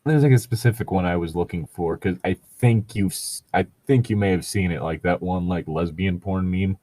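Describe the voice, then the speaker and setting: Deep-ish guy goofing around on a comedy reading show, rambly and sweary, giggly, pretty dry sound. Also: moderate pace, dark tone, no room sound. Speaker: host of a bad fan fiction reading show. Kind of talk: casual joking banter and reading aloud.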